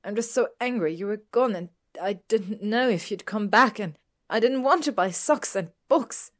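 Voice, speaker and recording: A woman's voice: fairly low pitch, lively intonation, moderate pace, close to an everyday voice. A woman playing an earnest, headstrong teenage witch in a story, speaking with emphatic, pleading urgency. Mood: distressed.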